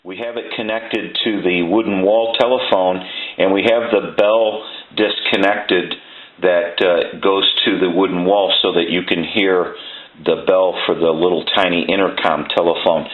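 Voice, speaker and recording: A man walking through a telephone repair in his workshop, explaining each step plainly and pausing often with "uh". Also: deep voice, steady tone, roomy sound, narrow, tinny audio.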